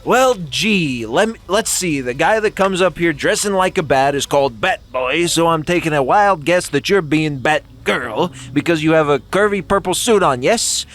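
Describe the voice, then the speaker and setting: A man's voice: on the high side, animated, normal speed, few pauses, a bit bright. A man reading the part of a bumbling middle-aged police commissioner in a comedic script reading, hammy and rambling, laughing now and then. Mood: flustered. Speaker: podcast co-host.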